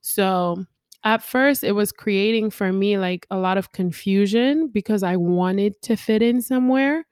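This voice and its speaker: Young woman podcast co-host, thoughtful and easygoing, mid-pitched voice, dry booth sound. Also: measured pace, a little mellow, smooth, no echo. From Congo and South Africa. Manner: reflective, talks in long flowing thoughts. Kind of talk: casual conversation.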